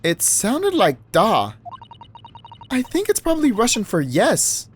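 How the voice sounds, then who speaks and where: Man in falsetto as a polite young lady assistant, very high voice, lively lilt, formal courteous phrasing. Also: takes it slowly, a little hiss or hum, full character voice. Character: polite young woman assistant, gentle and proper.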